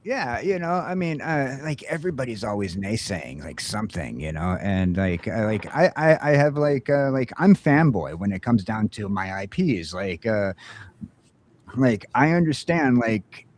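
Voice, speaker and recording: A man with a lowish voice hosts a laid-back morning show. He rambles through his thoughts, restarting sentences and leaning on fillers, and his voice leaps up when something gets him going. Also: unhurried. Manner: rambling, many fillers and restarts, pitch jumps up when excited. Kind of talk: casual geeky chat.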